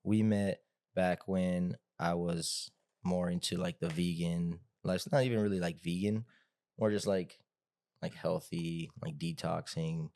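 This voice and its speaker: A man with a deep voice hosts a casual podcast chat. His delivery is flat and meandering, full of filler phrases, and the sound is close and dry. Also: a little slow, dry recording. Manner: rambling, hedging with frequent "like" and "you know". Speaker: podcast host, interviewer.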